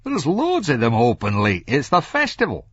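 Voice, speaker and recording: A man's voice: mid-range voice, wildly swooping pitch, normal speed, a bit bright, slightly rough, dry recording, highs cut off, pitched above the performer's own voice, exaggerated voice. A man voicing a surly, tired Scottish cab driver in an audiobook, explaining things sarcastically. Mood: exasperated.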